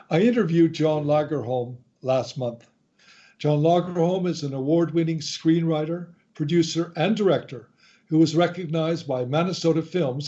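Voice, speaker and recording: A man hosting a radio show, reading a scripted introduction in a deliberate, announcer-like way. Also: mid-range voice, warm tone. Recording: slightly dull, lo-fi sound.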